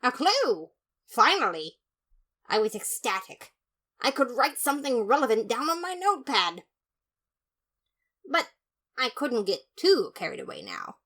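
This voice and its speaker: A woman's voice: a little high, wildly swooping pitch, measured pace, long pauses, pushed and bright. A woman narrating a first-person comic detective story in a dramatic, mock-serious manner. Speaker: fan fiction narrator.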